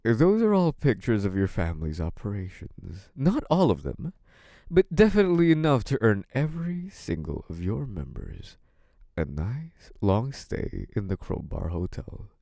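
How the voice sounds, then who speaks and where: A man's voice: lowish voice, big pitch swings, measured pace, creaky, dark tone, no echo, studio quality, heavily acted. A man playing a smug, menacing, possessive young mafia boss in an intimate audio roleplay, taunting in a theatrical, mock-sweet way. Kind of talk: scripted audio roleplay, intimate monologue to one listener.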